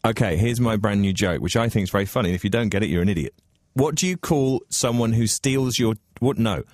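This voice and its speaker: A man's voice: lowish voice, brisk, a little mellow, no echo, studio quality. A man, a regular on a radio show, chatting and joking casually. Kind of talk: casual joke-telling banter.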